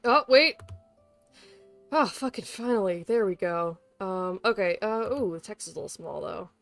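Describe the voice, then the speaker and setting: A woman's voice: mid-range voice, animated, moderate pace, vocal fry, soft background music. A woman livestreamer chatting casually to viewers in a playful, chatty way. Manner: playful, chatty, talks to pets. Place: bedroom at home.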